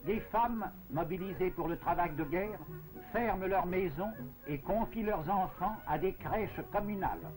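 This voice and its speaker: A man delivering formal, measured, scripted narration in the declamatory style of a 1940s propaganda film. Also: sits high, rough recording. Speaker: newsreel narrator. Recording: 1940s film soundtrack with music. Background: music.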